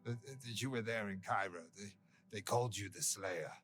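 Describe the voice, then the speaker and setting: A man's voice: on the low side, a little fast, a bit bright, natural voice. A man playing a nervous, evasive vampire in a video game, stammering and repeating himself anxiously. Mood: fearful.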